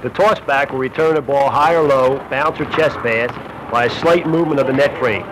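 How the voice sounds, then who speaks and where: A man's voice: medium pitch, normal speed. A man reading scripted narration for an instructional basketball film, as a shooting instructor. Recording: noisy old Super 8 film soundtrack.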